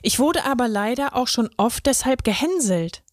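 Storybook kid: timid young straw boy, voiced by a woman, high and earnest, dry studio sound. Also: animated, normal speed, dry recording, close to an everyday voice. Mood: earnest, shy. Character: shy young boy drinking straw, colorful. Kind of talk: children's story reading.

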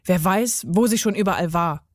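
A woman's voice: on the low side, normal speed, no echo, studio quality, close to an everyday voice. A woman voicing a harsh, impatient storybook mother who speaks in a curt, commanding way.

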